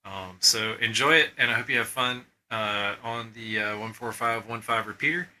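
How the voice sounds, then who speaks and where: A man with a very bright, forceful, slightly low voice presents informally to fellow radio hobbyists. He speaks haltingly and casually, with faint noise behind him. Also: steady tone. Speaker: amateur radio club member presenting a video.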